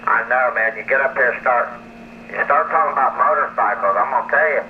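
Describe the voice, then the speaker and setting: A man's voice: mid-range voice, brisk. A man chatting casually over a two-way CB radio as an operator on the air. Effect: CB radio transmission. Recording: muffled, noisy CB radio reception.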